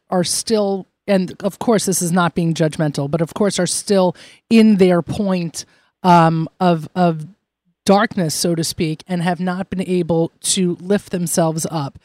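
A man co-hosting an interview who asks questions in a warm, encouraging way and laughs easily. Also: sits high, fairly even intonation, takes it slowly, no room sound. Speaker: podcast co-host. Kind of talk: interviewer, conversational.

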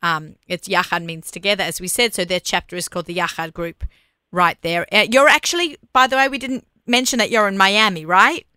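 A woman hosting an interview with a bright, sharp voice. She sounds smooth and crisp, recorded dry and close. She talks in an upbeat, chatty way and praises her guests often. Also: medium pitch, fairly quick, no room sound. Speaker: radio show host. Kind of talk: interview on air.

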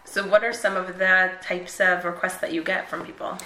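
A woman hosting a radio interview, enthusiastic and quick to react with excited affirmations. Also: fairly low pitch, echoey room, slight background noise. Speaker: interviewer, show host.

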